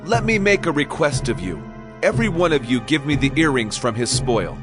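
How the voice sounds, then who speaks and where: An actor playing a stern, vengeful ancient Israelite war leader in a dramatized scripture audio drama, speaking in a commanding, declamatory way. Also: male voice, medium pitch, big pitch swings, a little fast, close to an everyday voice. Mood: firm, solemn. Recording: voice over prominent music score.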